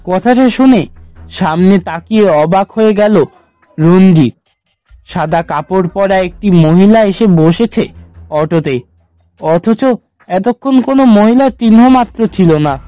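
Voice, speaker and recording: A man narrating a scripted horror story in a measured, suspenseful manner. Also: high-pitched, expressive, dark tone, no room sound, faint music behind.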